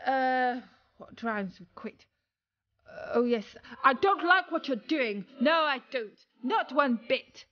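A woman's voice: high-pitched, lively intonation, slow pace, muffled recording, voice pushed up. A woman voicing a young boy apprentice from a storybook, eager and self-important. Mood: flustered. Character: cocky young boy apprentice, easily flustered.

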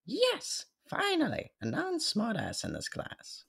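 A woman voicing an exasperated, sarcastic high school teacher in a comic dub cartoon, weary and scolding. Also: wildly swooping pitch, slow, vocal fry, fairly bright tone, breathy edge, full character voice.

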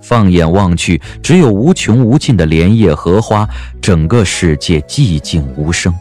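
Very deep, expressive male story narrator. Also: a little slow, faint music behind. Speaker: audiobook narrator. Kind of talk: scripted dramatic storytelling narration.

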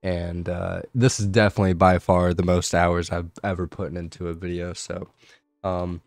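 A young man with a raspy, hoarse voice from being ill, chatting casually over his gameplay and swearing now and then. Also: low-pitched, flat delivery, moderate pace, darkish tone, no room sound, clean studio sound, soft background music. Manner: laid-back, swears casually. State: hoarse from illness. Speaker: gaming video creator.

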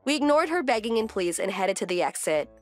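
A woman acting an indignant young wife, lively delivery. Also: medium pitch, brisk, close to an everyday voice. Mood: firm, reflective. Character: fed-up young wife narrating family grievances. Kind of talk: scripted manga dub narration.

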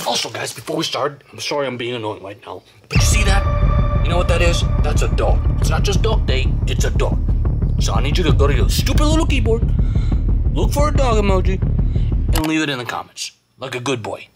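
A hyper, teasing toy monster, played by a man, talks at the viewers in a high voice. His pitch jumps wildly as he giggles and bosses the audience around. Loud music plays underneath. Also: big pitch swings, brisk. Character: playful blue toy monster, teasing and chatty. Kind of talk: fan toy-play video narration.

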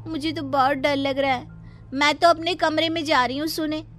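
A woman voicing an anxious young sister of an Indian family in an animated horror story, with music underneath. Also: high voice, moderate pace. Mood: nervous.

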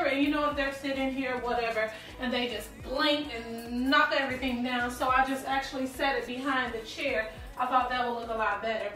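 A woman's voice: sits high, pushed and bright. A woman vlogging while she cleans her living room, casually explaining her plans to the camera. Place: living room at home, indoors. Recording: echoey room, voice from far off.

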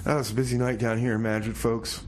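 A man's voice: mid-range voice, level tone, fast talker, a crowd in the background. A man hosting a community radio music show with casual, rambling, folksy banter. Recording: clearly noisy background.

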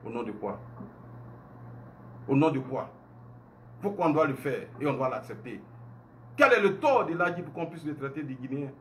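A man's voice: medium pitch, lively intonation, moderate pace, pauses often, a bit bright, a little hiss or hum. A man in his forties with an Ivorian French accent, speaking to camera at home in an impassioned monologue full of rhetorical questions. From Côte d'Ivoire. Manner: indignant, emphatic, rhetorical questions, gestures a lot. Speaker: online commentator. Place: home room indoors.

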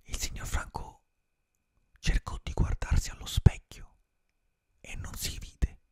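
A man's voice: darkish tone, dry recording. A young man whispering a bedtime fairy tale for ASMR, close to a studio microphone in a small room. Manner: slow unvoiced whisper, drawn-out pauses. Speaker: ASMR streamer. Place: small dim room with colored string lights. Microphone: close studio mic, earphones.

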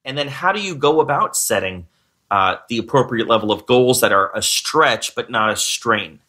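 A man hosting a podcast on recruiting, energetic in his intro and loose and chatty when asking questions. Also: mid-range voice, normal speed. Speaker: podcast host. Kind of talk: podcast interview.

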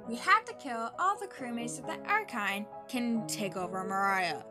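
Woman voicing a high, commanding cartoon villain boss. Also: expressive, normal speed, music in the background, close to an everyday voice. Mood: menacing. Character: commanding, ruthless alien impostor boss. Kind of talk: comic dub voice acting.

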